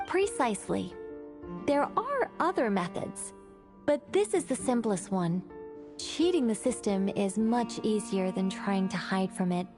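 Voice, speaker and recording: Scholarly woman, played by an actress, medium pitch, formal precise explainer, video game dialogue, faint music underneath. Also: animated, unhurried, natural voice. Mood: explanatory. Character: formal, scholarly, self-assured woman fond of lengthy explanations.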